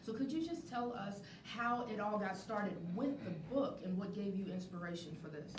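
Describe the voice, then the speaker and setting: A woman's voice: medium pitch, lively intonation, moderate pace. Female talk show host, live presenting, recorded off-mic with light background noise. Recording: echoey, distant mic, faint noise.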